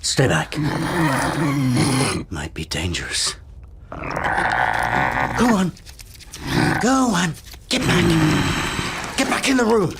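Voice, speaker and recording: A man plays a gruff, short-tempered father in a radio play. He is middle-aged and scornful, barking orders at his family. Heavy noise and clicks sit behind the voice. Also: on the high side, wildly swooping pitch, extremely slow, long pauses, heavily acted. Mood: alarmed, shouting orders. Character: gruff, bullying, irritable father of grown children. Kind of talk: radio drama acting. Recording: very noisy track with clicks.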